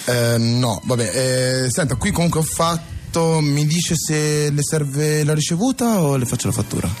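Polite, hesitant young plumber. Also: male voice, on the high side, lively intonation, a little slow. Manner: matter-of-fact, hesitant, deferential. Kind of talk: satirical radio drama acting. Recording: music behind the voice.

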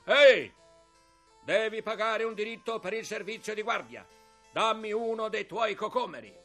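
A man's voice: high-pitched, expressive, normal speed, sharp tone, faint music behind. A man voicing a domineering, officious guard who barks demands in a children's radio fairy tale. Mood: commanding. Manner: barking, officious. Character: domineering male guard sentry demanding his due.

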